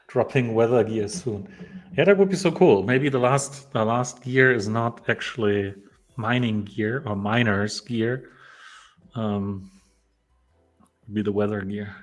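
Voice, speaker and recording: A man's voice: lowish voice, slow, pauses often. A man from Poland hosting a developer live stream, chatting casually with lots of fillers and restarts. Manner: rambling, many fillers and restarts.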